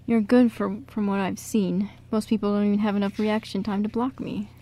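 A woman acts a theatrical stage director, a genial man with a jaunty, mock-formal way of talking. The pitch is middling for a woman, in amateur radio-play style. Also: little pitch movement, a little fast, warm tone, lightly acted. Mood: playfully grand. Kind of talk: amateur radio drama acting.

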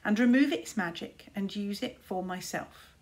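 A woman's voice: medium pitch, normal speed, close to an everyday voice. A woman voicing a boastful, stubborn young boy wizard in a storybook, emphatic and proud. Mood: eager, proud.

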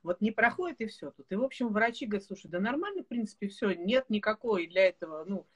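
A woman in her forties hosting a casual video call chat, telling stories in an animated, laughing way. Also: mid-range voice, fast, slightly rough. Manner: lively storyteller, animated and laughing. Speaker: host. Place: home room with bookshelves. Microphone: video call webcam.

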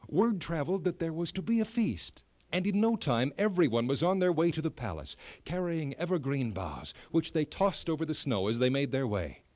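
Guy reading a kids fairy tale, really animated, bit high pitched, close dry sound. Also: normal speed, hardly any pauses, no echo. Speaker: storyteller, narrator. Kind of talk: scripted children's fairy tale narration.

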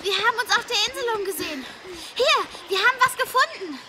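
Tiny super high chirpy eager cartoon voice, kids radio play, noisy background. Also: female voice, expressive, fast talker. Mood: excited. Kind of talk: children's audio drama acting.

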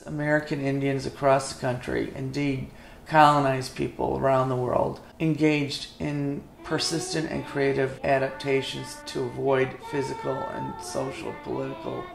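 Gravelly, low-voiced woman reading aloud. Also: normal speed, soft background music. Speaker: guest reader. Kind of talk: read-aloud book passage. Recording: echoey room, faint noise.

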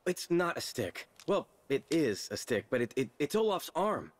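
A worried, flustered young mountain man in an animated game. His pitch moves about a lot and the sound is dry. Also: moderate pace, dry recording. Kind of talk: animated game cutscene acting.

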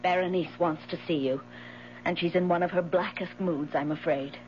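Woman playing gentle caring widow, slightly low voice, genteel and soothing, muffled old radio drama. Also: fairly even intonation, moderate pace, a little hiss or hum, lightly acted. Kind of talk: 1970s radio drama acting. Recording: muffled 1970s radio recording.

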